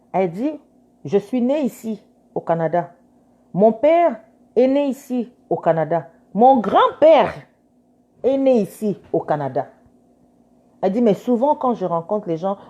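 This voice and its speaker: A woman's voice: medium pitch, warm tone, lo-fi sound. A woman lawyer giving earnest, insistent commentary, switching between French and English and repeating her key points. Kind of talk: casual commentary.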